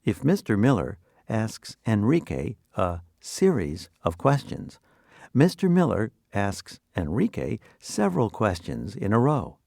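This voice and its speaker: A man's voice: lowish voice, wildly swooping pitch, a little slow, creaky, darkish tone, dry recording, studio quality. A man presenting a scripted vocabulary review, asking and explaining in an instructional, quizzing manner. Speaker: quiz presenter.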